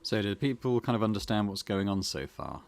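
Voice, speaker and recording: A man with a fairly low, fry-heavy voice gives casual gaming commentary. He thinks out loud and feels his way through sentences. There is slight noise in the background. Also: expressive, brisk. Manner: hesitant, thinking aloud while playing. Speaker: gaming channel host. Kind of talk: casual let's play commentary.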